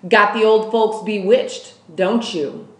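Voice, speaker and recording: A woman reading a storybook as a loud, brash, bullying woman who talks in blunt shouts. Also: mid-range voice, takes it slowly, natural voice. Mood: menacing. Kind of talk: children's storybook character voice. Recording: echoey room.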